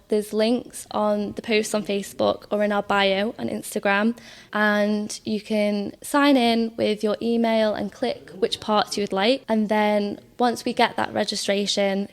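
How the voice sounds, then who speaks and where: A woman from an amateur theatre society answering interview questions, explaining things step by step. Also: medium pitch.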